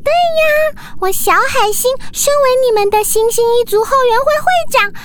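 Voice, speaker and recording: Squeaky-high tiny sea creature child. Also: female voice, normal speed, slight background noise. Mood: admiring, excited. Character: tiny excitable admiring young sea-creature schoolchild. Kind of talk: children's audio drama acting.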